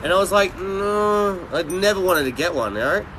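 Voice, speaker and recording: Male livestreamer, middle-aged, higher voice, blunt and rambling casual delivery, clear traffic noise behind. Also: animated, normal speed, bright, forceful tone, poor audio. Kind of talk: casual live chat with viewers.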